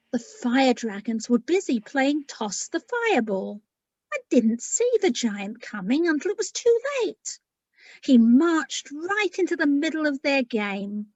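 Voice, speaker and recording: A woman with a fairly high voice, talking like a children's author at a read-aloud. Her pace is slow and informal. The sound is dry and somewhat lo-fi. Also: no echo.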